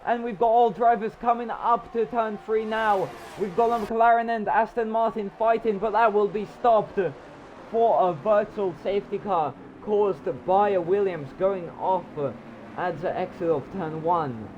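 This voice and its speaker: A young man commentating a live online racing league, hoarse with his voice nearly gone, rambling and talking to himself while he waits for action. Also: high voice, steady tone, measured pace, highs cut off, a little hiss or hum.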